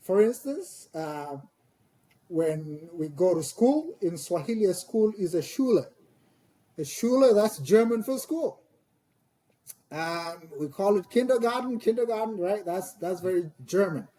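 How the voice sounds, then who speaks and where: A middle-aged man with a Tanzanian accent, speaking a second language in thoughtful interview talk, hesitant, with pauses and repeated words as he explains. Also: high-pitched, expressive, mellow, low-quality recording. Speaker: podcast guest, scholar.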